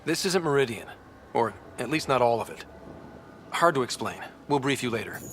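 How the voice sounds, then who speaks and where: An actor playing a determined young space explorer in a video game, leading a team with an earnest, commanding manner. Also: male voice, medium pitch, lively intonation, moderate pace, a bit bright, slight background noise, close to an everyday voice. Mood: grim, thoughtful. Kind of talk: video game cutscene acting.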